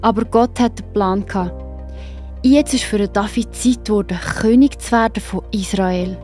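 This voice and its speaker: A woman's voice: medium pitch, normal speed, a little mellow. Swiss German woman storyteller over music. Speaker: narrator. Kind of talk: scripted children's storytelling.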